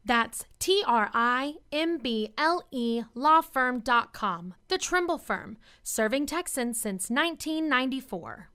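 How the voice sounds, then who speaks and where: High-voiced woman reading radio commercial. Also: animated, measured pace, few pauses, pushed and bright, clean tone. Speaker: commercial announcer. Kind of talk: scripted radio advertisement read.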